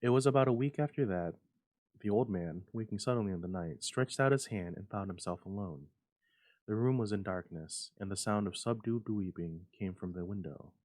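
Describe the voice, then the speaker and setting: A man's voice: on the low side, normal speed, a little mellow, dry recording. A man reading a literary story aloud as a dramatic narration. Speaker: story reader.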